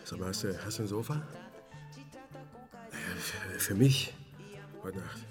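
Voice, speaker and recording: A man acting in a German radio drama as a harried, evasive murder suspect, speaking in a halting, colloquial way. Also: low-pitched, lively intonation, music in the background. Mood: sheepish, halting. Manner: halting, colloquial, stammering and trailing off.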